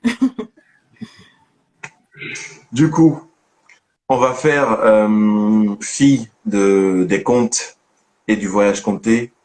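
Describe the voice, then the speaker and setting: A man's voice: on the low side, a little mellow, low-quality recording. A man hosting an online interview, asking questions and teasing his guest in a joking, familiar way. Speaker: host of a storytelling channel.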